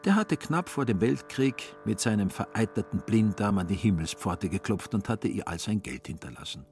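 Low-voiced male radio play narrator. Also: expressive, moderate pace, a little mellow, faint music behind.

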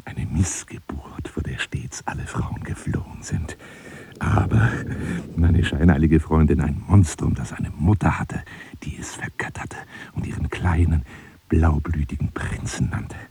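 An actor playing an obsessive, grandiose telephone seducer in a radio drama, husky and breathy, florid and formal, wounded and menacing. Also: male voice, extremely low pitch, measured pace, dark tone, full character voice. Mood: bitter, self-pitying. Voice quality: husky, breathy, often dropping to a whisper. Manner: theatrical, florid, formal address, swinging from pleading to scornful.

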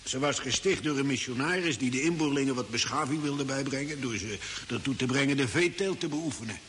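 An actor playing an easygoing old desert hermit in a radio drama, folksy and hesitant in his unhurried talk. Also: mid-range voice, fairly bright tone, close to an everyday voice. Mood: matter-of-fact. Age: older. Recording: hissy old radio recording with long reverb.

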